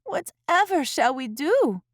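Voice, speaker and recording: A fussy, refined French poodle mother from a storybook, acted out by a woman. Her voice sits high and swoops about, with prim little exclamations. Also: sing-song, slow pace, dry recording, clean studio sound, exaggerated voice. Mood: dismayed. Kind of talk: children's storybook character voice.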